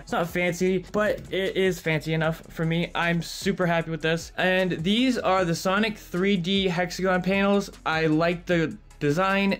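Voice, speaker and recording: A man with a light, higher-pitched voice talking through his gaming and streaming setup in a chatty, upbeat way. He sounds eager about his gear and speaks on a studio microphone in a home room with a touch of echo. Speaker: streamer and video creator. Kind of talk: casual setup tour. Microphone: studio dynamic mic on arm.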